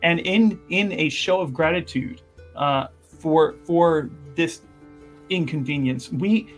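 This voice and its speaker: Man acting as a fussy university envoy, slightly high voice, halting, deferential, over-apologetic, formal wording, music behind, tabletop game. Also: poor audio. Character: flustered, obsequious male university representative smoothing over trouble. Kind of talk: improvised tabletop roleplay.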